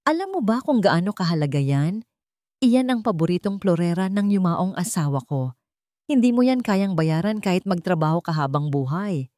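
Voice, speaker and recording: A woman's voice: on the low side, lively intonation, normal speed, mellow, dry recording, studio quality, natural voice. A woman voicing a furious, domineering wealthy old man, stern and scolding, in an audio drama.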